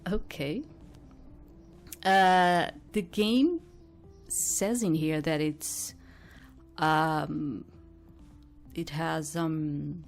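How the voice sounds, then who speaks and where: A woman giving casual game commentary and reading aloud, thinking out loud in a hesitant, rambling way. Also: sits low. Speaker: host of a game playthrough.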